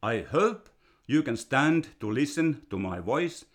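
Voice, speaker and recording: An older man from Finland with a Finnish accent, slowly reading a fairy tale he wrote for his grandchildren. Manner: slow and deliberate, with a lively, storybook pitch. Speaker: storyteller reading his own children's book.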